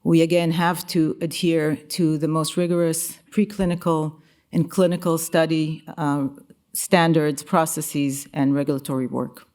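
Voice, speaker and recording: A woman giving a prepared conference speech as a company executive, formal and measured, sometimes halting and repeating words. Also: deep voice, steady tone, darkish tone. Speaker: company chief executive giving opening remarks. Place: conference venue in a museum.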